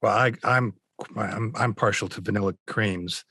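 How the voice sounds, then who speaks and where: A man's voice: lowish voice, moderate pace, pushed and bright, husky, no echo, studio quality. A middle-aged man with a mid-Atlantic American accent, chatting as a podcast guest, rambling with fillers and restarts. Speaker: voice actor guest. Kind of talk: casual podcast chat.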